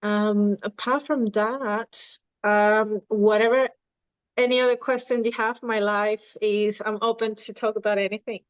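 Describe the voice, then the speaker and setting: A woman in her thirties from Venezuela speaks in an unhurried, halting way, often restarting her sentences. Her voice is smooth and clear. It is recorded dry, with a slightly dull tone. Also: mid-range voice, fairly even intonation, dry recording. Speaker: dietitian and nutrition coach. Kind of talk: podcast interview.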